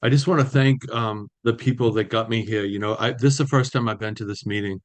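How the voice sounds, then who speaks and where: A man, sharing his recovery story at a meeting over a video call, halting with many fillers. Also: on the low side, steady tone. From Boston area, US. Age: 60s. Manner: halting, many ums and you-knows, restarts. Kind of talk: personal recovery share.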